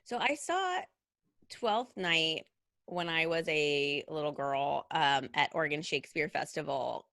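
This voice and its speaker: A woman's voice: sits low, animated, measured pace, a bit bright, no room sound, studio quality. A woman in her thirties, a costume designer as interview guest, talking in a chatty way, full of "like" and "you know". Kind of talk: casual conversational interview.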